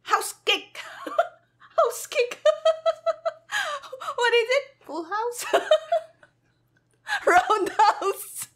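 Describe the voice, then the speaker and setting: Giggly woman with a very high, bright voice. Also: extremely slow, pauses often. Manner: giggly, animated, sassy. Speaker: gaming streamer. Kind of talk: casual playful gameplay commentary.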